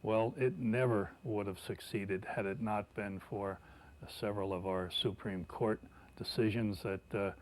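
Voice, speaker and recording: An older man with a somewhat low voice gives an interview recollection. He speaks deliberately and haltingly, often pausing to find the next phrase. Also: steady tone, darkish tone, a little hiss or hum. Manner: halting, deliberate, with frequent hesitations.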